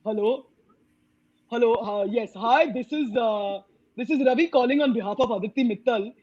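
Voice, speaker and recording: A woman putting on a lowered male voice for a polite, hesitant phone caller. He asks after a late payment with plenty of pauses, and the sound is a little dull. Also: a little mellow, breathy edge, dry recording.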